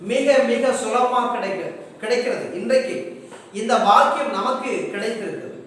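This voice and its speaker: A man's voice: extremely high pitch, moderate pace, fairly bright tone, slight background noise. A man preaching a fervent sermon, exhorting emphatically with repeated rhetorical questions. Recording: echoey hall, distant mic, music behind.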